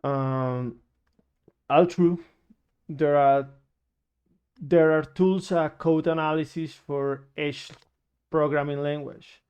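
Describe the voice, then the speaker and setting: A man from Colombia presents his research in careful, unhurried English, his voice smooth and crisp. His delivery stays level and monotone, with frequent pauses and restarts as he searches for words. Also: on the high side. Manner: halting, many fillers and restarts. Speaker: security researcher. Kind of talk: conference presentation.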